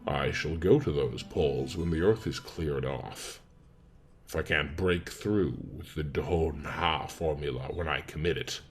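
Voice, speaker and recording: A man's voice: extremely low pitch, a little slow, faint music behind, voice pushed down. A man voicing an eerie, precocious country boy sorcerer reading his diary with rustic, unschooled diction in a horror audiobook. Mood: ominous, matter-of-fact.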